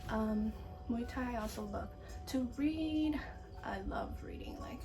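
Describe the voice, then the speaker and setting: A woman's voice: a little high, unhurried, music in the background, rough recording. A woman in her twenties from California, chatting casually to camera in a sit-down Q&A as a vlogger, a little self-conscious. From San Diego, California. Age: 20s. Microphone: phone camera.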